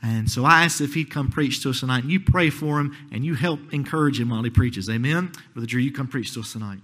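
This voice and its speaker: A pastor stands before his church and introduces the evening's guest preacher. His voice is of middling pitch. He talks quickly, in a brisk and friendly way. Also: fairly bright tone. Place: church sanctuary.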